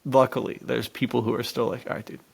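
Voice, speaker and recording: Man, mid-pitched creaky voice, podcast co-host, excitable casual chat, slangy phrasing. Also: fast. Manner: excitable, informal bro-ish slang. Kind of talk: casual enthusiastic chat about adventure stories.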